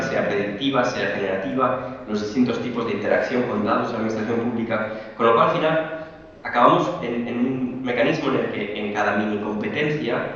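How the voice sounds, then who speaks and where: Hombre en videollamada con mucho eco. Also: male voice, medium pitch, a bit bright, a little hiss or hum. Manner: halting, repeats words while thinking. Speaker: conference speaker. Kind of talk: academic talk over video link. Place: remote video call. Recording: echoey distant lo-fi video call.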